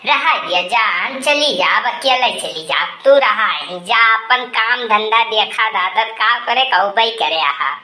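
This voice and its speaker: A woman's voice: a little high, expressive, a little slow, hardly any pauses, bright, forceful tone, roomy sound, soft background music. A woman playing a sassy, pouty village gossip in a comic Awadhi cartoon, teasing and complaining in rustic chatter. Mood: sulky.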